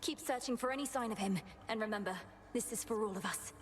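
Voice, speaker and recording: A woman playing a resolute young goddess princess in a video game, speaking in a formal, measured, determined way. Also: fairly high pitch, close to an everyday voice. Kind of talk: dramatic video game acting.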